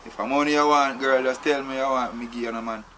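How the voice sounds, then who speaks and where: A man's voice: medium pitch, steady tone, fast, a crowd in the background, lightly acted. A man playing a streetwise captive who is tied up, speaking in Jamaican Patois, irritable and constantly adding "you know". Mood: reasoning.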